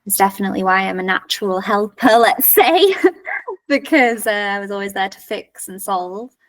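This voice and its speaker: A woman's voice: medium pitch, lively intonation, normal speed, far from the mic, poor audio. A woman, a coach and yoga teacher, speaking as a podcast guest in a candid, frank way. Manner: candid, frank, laughs easily. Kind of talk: casual podcast conversation.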